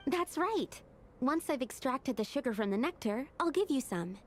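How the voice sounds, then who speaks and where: A woman's voice: high-pitched, lively intonation, normal speed, very noisy. A woman playing an earnest, studious young female alchemist in a video game, explaining things carefully and analytically. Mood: pleased, explaining. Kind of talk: video game character dialogue. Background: game music.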